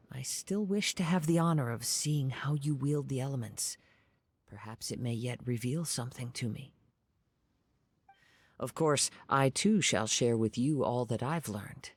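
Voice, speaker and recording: A man voicing a soft-spoken, contemplative young swordsman in a video game, formal and polite in his measured phrasing. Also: medium pitch, husky, no echo. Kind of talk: scripted video game character dialogue. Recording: background music.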